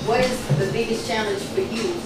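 A woman hosting a panel podcast in a relaxed, playful way. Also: a little high, normal speed, loud music behind the voice. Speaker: podcast host and company founder. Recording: echoey room, noisy, mic at a distance.

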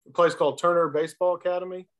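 Man, slightly high voice, casual anecdote telling, podcast guest. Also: flat delivery, rapid-fire. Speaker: podcast guest, former baseball player. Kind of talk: casual chat, storytelling.

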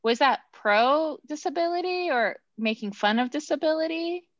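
A woman podcast co-host rambles through a casual movie chat, her voice a touch high. The sound is dry and close, a little muffled. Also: lively intonation, normal speed, dry recording. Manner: chatty, rambling, lots of fillers.

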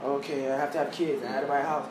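A man's voice: medium pitch, little pitch movement, very fast. A young man in his twenties chatting casually, rambling loosely with lots of "like" and casual swearing. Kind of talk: casual chat. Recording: roomy, distant mic with background noise.

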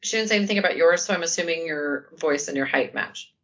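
A woman's voice: fast, fairly bright tone, dull audio. Commanding-voiced woman podcast co-host. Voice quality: commanding, low-sounding voice. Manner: joking, chatty, says "like" often. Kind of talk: casual podcast chat, telling a history story.

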